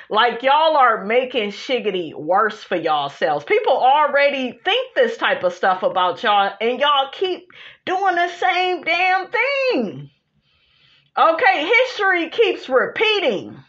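A woman with an American accent hosting a gossip podcast, talking casually in a sassy, slangy way with animated asides, on muffled lo-fi audio. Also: fairly high pitch. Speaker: podcast host. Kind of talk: casual gossip commentary, reading posts aloud.

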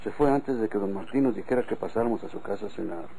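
Casting: complacent Mexican country husband, gravelly mellow mid voice, colloquial, old radio serial. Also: male voice, on the low side, quick pace, low-quality recording. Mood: complacent, reassuring. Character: easygoing, trusting, sleepy country husband. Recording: old radio recording, faint noise.

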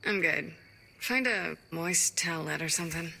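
A woman's voice: fairly low pitch, normal speed, vocal fry, a little hiss or hum. An actress playing a tough, sarcastic female gang boss in a video game, blunt and wry. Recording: loud music behind dialogue.

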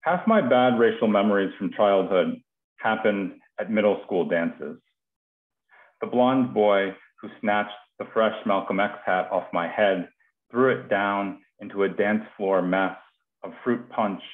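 A low-voiced American man speaks as the poet host of a reading. His delivery is thoughtful and measured in tone. The sound is narrow and phone-like, with clear room echo from a kitchen video call. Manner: halting, thoughtful, repeats words. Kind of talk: informal hosting and poetry reading. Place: small domestic kitchen, indoors. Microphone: headset on a video call.

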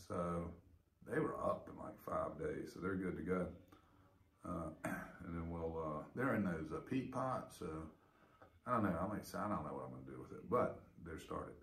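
A middle-aged man chatting casually and rambling with lots of fillers as he gives a garden update. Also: deep voice. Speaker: gardening vlogger. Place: indoors by a big window with seedlings; yard walk outdoors. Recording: roomy echo, faint noise.

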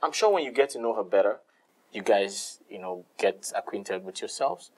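A man's voice: fairly low pitch, expressive, moderate pace, a little mellow. A man with a Nigerian accent playing an easygoing young boyfriend who brushes off quarrels and apologizes to his mother. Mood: placating. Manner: placating, laughing things off.

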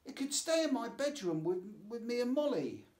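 A stubborn little girl from a children's storybook, as a male reader puts her on. The voice is high and swoops about with childlike insistence and excitement. It rings slightly in an echoing room. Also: moderate pace. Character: small stubborn excitable girl who adores owls. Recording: roomy, echoing voice.

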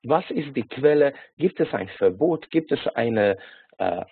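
A man from Hungary speaking with a Hungarian accent, teaching an online lesson on a video call, halting with many filler sounds and restarts. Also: mid-range voice, lively intonation, gravelly, breathy edge, no echo. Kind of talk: online lecture. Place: small indoor room. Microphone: webcam or laptop mic on a video call. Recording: tinny phone-like video call.

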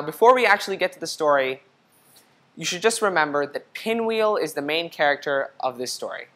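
A man's voice: fairly high pitch, fairly bright tone. A young man hosting a children's read-aloud, speaking directly to young listeners and putting questions to them. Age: young adult. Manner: clearly paced, addressing young listeners directly. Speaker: picture book reader for children. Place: indoor studio set. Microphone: lapel mic.

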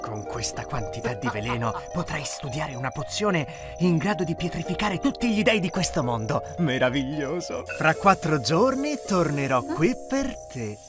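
A man's voice: a little high, sing-song, normal speed, hardly any pauses. A man voicing a scheming male god of the underworld in a cartoon, talking in a wheedling, conniving way. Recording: music behind voice.

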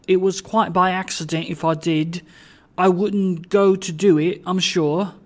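A storybook reader puts on a humble old man with a raised, higher voice. He is timid and deferential, faltering as he earnestly pleads his case. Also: fairly even intonation, measured pace, natural voice. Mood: apologetic.

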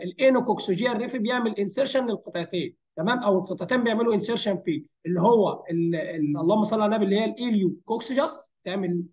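Fast, high-pitched Egyptian man teaching. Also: a little mellow. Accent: Egyptian Arabic. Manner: conversational, asks and answers his own questions. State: hoarse, strained voice from fatigue. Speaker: anatomy lecturer. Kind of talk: informal tutorial explanation with English medical terms. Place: voice-over for a screen recording.

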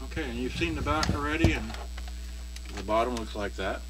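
A man's voice: medium pitch, a little fast. A man giving a casual, unscripted hands-on demonstration, rambling and half muttering to himself as he handles things. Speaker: presenter of a product review. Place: indoors at a cluttered wooden workbench. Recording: music and electrical hum behind. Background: music, mains hum.

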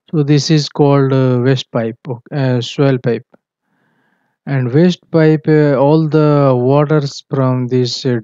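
A man explains engineering drawings in a slow, level delivery that keeps stopping for fillers and self-corrections. His tone sits on the dark, mellow side. The voice-over sounds dull and lo-fi, recorded dry with no room sound. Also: mid-range voice, clear, resonant tone, no echo. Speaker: MEP engineering tutor. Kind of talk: screen-share drawing walkthrough.

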